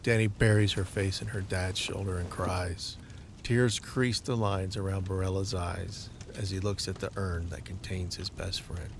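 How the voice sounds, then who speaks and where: A man's voice: deep voice, flat delivery, moderate pace, vocal fry, background noise. A man narrating an audio drama from a script, describing each scene in the present tense.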